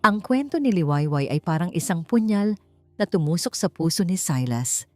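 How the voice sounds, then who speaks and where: A woman with a fairly low voice reads a scripted story as an audiobook narrator. Her delivery is dramatic, with deliberate phrasing that builds suspense. Music plays faintly behind her. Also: lively intonation, warm tone.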